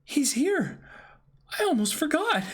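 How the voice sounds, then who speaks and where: A man's voice: very high voice, sing-song, a little slow, voice pushed up, full character voice. A man voicing an anxious, chiding young woman from a comic in a breathless, dramatic way. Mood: alarmed.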